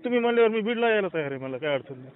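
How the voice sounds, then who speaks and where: Fast, animated man on phone. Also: sits high, smooth. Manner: repetitive, agreeing interjections. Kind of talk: casual phone conversation. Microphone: phone call. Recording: tinny, phone-like call recording.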